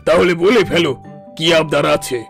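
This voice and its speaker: Pompous gravelly lion king. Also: on the high side, normal speed, a bit bright. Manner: grand, patronizing, boastful. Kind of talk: cartoon voice acting. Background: background music.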